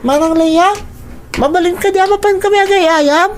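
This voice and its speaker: A woman plays a little child in a radio drama, begging an older sister to play. The voice is very high and small, pleading in tone, with faint noise behind it. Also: moderate pace. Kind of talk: Ilocano radio drama acting.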